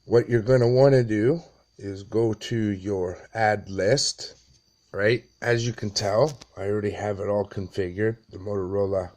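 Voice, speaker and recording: Slow-talking, low-voiced man giving a tutorial. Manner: halting, plain-spoken, pauses often. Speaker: amateur tutorial presenter. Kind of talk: casual how-to walkthrough. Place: small dim room indoors.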